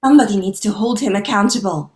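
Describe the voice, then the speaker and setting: A woman's voice: mid-range voice, quick pace, roomy sound, close to an everyday voice. A woman playing a defiant captive, confrontational and emphatic, in a screenplay table read. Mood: outraged. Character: defiant, outspoken captive woman standing up to gunmen.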